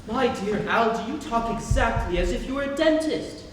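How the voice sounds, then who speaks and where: A teenage male student playing an earnest, evasive and easily indignant young Victorian gentleman in a formal, theatrical dramatic reading. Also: very high voice, animated, normal speed. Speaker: student actor. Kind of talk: dramatic stage reading of a comedy. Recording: far from mic, long hall echo, noise.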